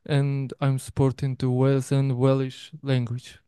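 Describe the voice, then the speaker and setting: A Kurdish man from Kurdistan, a musician, gives brief thanks at a live event in halting, simple English that is not his first language. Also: mid-range voice, completely flat delivery, warm tone, clear, resonant tone, no echo, clean studio sound. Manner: halting, simple phrasing in non-native English.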